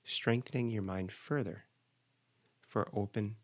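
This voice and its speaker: A man leading a guided meditation, giving measured, instructional cues with pauses between phrases. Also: lowish voice, fairly even intonation.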